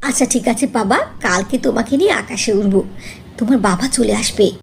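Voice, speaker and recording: A woman voicing a gentle, patient young mother in a cartoon, soothing as she explains. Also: fairly high pitch, fairly quick, vocal fry, a little hiss or hum. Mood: reassuring. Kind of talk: cartoon voice acting.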